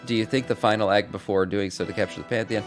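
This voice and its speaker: Man reading as a grave, low-voiced orc. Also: steady tone, quick pace, soft background music, natural voice. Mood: stern. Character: grave, ominous male orc warning of cosmic schemes. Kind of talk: reading game character dialogue aloud.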